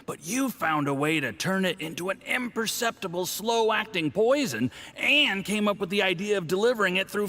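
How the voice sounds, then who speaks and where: An actor playing a smug, scheming foreign diplomat with a patronizing, mocking manner. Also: male voice, on the high side, fairly bright tone, full character voice.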